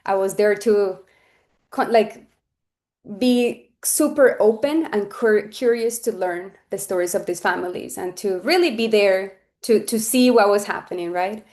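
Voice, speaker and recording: A woman's voice: mid-range voice, a little slow, darkish tone. Colombian-born woman presenting on a webinar. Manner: talks freely, with fillers and restarts, earnest. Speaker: webinar speaker. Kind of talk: presenting on a webinar, casual and personal. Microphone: video call.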